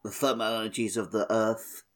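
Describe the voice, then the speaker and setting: A man's voice: on the low side, level tone, moderate pace, a bit bright. A man co-hosting a podcast, chatting casually about a video game with wry, dry humour. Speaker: podcast co-host.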